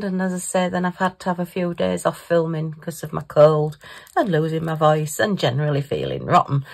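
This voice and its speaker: A woman's voice: on the low side, creaky. A woman chatting casually in a crafting vlog, giggly and self-mocking, recorded at her home craft table. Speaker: crafting vlogger.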